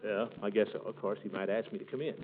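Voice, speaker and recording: An actor playing a pompous, blustering middle-aged water commissioner in 1940s radio comedy, eager and easily flustered. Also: male voice, on the low side, steady tone, fast, close to an everyday voice. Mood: hopeful, self-important. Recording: muffled, noisy 1940s radio recording.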